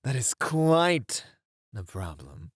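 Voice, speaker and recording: Flirty incubus demon, played by a man: smug charmer, unhurried, lively swings in pitch, close dry sound. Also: breathy edge, no echo, heavily acted. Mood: amused, smug. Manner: teasing, drawn-out, playful delivery. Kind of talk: flirty ASMR roleplay acting.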